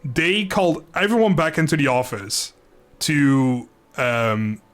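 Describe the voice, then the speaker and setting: A man livestreaming a video game, chatting informally and thinking aloud in a halting, casual way. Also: on the high side, fairly bright tone. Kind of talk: casual livestream chat over gameplay.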